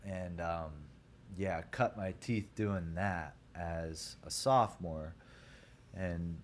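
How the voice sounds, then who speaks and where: A young man from Ohio with a deep voice, a podcast guest telling his story in a rambling, laid-back way full of fillers. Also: fairly even intonation, slow, slight background noise. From Columbus, Ohio. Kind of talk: casual podcast chat.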